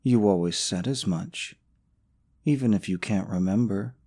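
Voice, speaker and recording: A man's voice: lowish voice, a little slow, mellow. A man playing a young, smug, possessive boyfriend in an audio roleplay, sarcastic toward his rival and syrupy sweet to the listener. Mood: smug, coaxing. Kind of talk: scripted romantic audio roleplay.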